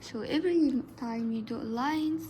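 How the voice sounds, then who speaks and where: A woman whose first language is Arabic, narrating a drawing tutorial softly, often dropping into a whisper. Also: high voice, measured pace, a little hiss or hum. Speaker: art tutor.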